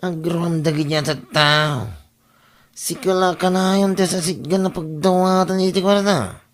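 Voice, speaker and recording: A man with a Filipino accent playing a calculating, smooth-talking boyfriend without a job in an audio drama. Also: high voice, steady tone, unhurried. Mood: coaxing. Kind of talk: Ilocano audio drama acting.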